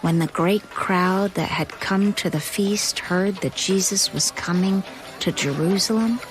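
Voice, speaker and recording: A woman giving expressive, measured scripted scripture narration for an audio drama. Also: mid-range voice, poor audio.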